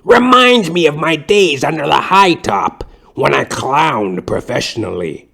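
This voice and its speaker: A man acts out an old woman, once a circus clown and now a theatrical schoolteacher. She cajoles in grand, drawn-out phrases that swoop up and down. It is played as broad audio comedy, slow and savoured. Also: a little high, animated, creaky, a bit bright, pitched above the performer's own voice, heavily acted. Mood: cajoling, lustful. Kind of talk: comic audio play acting.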